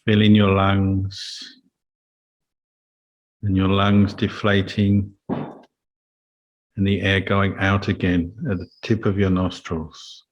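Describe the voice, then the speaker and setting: A man speaking as a meditation teacher, giving calm, measured guidance in a Buddhist talk. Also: low-pitched, muffled recording. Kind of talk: guided meditation and Dhamma talk.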